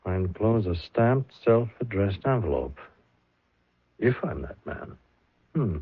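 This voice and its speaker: An actor playing a genteel, courteous, pensive male writer in an old-time radio drama, speaking in a measured, musing way. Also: lowish voice, big pitch swings, slightly rough, dry recording, full character voice. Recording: muffled vintage 1970s radio recording.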